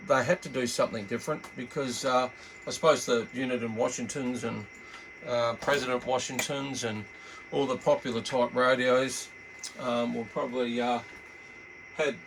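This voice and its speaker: Gravelly-voiced Australian man, casual walkthrough. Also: mid-range voice, a bit bright, slight background noise, low-quality recording. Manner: laid-back, halting, rambling. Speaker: hobbyist radio reviewer. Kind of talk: casual unscripted product walkthrough.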